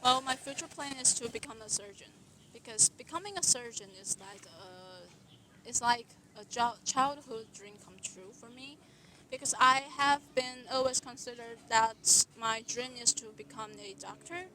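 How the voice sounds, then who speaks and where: A young woman speaks earnestly and deliberately, sometimes restarting a phrase. Her voice sits a little high. She sounds like a doctor answering interview questions. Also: bright, forceful tone, rough recording. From Taiwan. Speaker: resident doctor.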